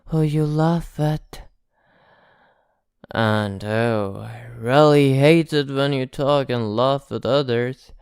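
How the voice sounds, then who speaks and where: A woman playing an arrogant, possessive vampire captor in an ASMR roleplay, haughty, teasing and softly threatening. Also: sits low, clear, resonant tone, dry recording, studio quality. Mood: menacing, jealous.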